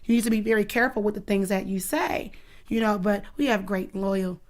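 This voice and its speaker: A woman's voice: medium pitch, fairly quick. A woman in her thirties, a dance coach, answering interview questions in an earnest, emphatic and protective way. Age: 30s.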